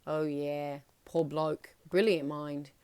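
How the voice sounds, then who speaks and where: A woman's voice: low voice, level tone, slow, darkish tone, smooth, no room sound. A woman voicing a big, good-natured man in a storybook, talking in a blunt, folksy, hearty way. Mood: chatty, fond.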